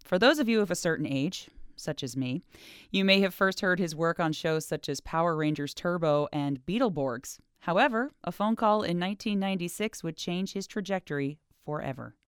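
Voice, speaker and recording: A woman host reading a scripted introduction in a smooth, measured way. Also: lowish voice, lively intonation, no echo, studio quality. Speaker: interviewer and narrator. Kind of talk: scripted narration.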